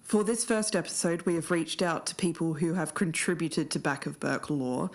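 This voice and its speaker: A woman's voice: low voice, normal speed, darkish tone. An Australian woman in her thirties co-hosting and presenting a podcast. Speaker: podcast co-host.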